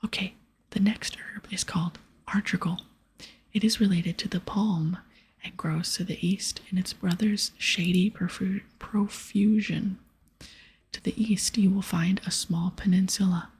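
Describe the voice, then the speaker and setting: A woman speaking in a soft, breathy whisper close to the mic, giving gentle, casual ASMR gameplay commentary. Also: medium pitch, steady tone, unhurried, low-quality recording. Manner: gentle, hushed, casual rambling. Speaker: ASMR gaming video creator. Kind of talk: ASMR whispered gameplay commentary with quest dialogue read aloud.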